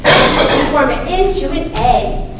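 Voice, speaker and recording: A woman playing a friendly girl puppet who teaches sign language slowly and carefully in a children's show. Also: high voice, expressive. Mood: patient, instructive. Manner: slow, careful, instructive delivery. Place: echoey room, live show before children. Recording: echoey, noisy hall from far off.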